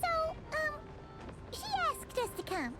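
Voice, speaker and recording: A woman voicing a small, excitable fairy companion with a squeaky, childlike voice in an anime game. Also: slow, over loud music, exaggerated voice. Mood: hesitant. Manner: exclamatory, speaks of self in third person.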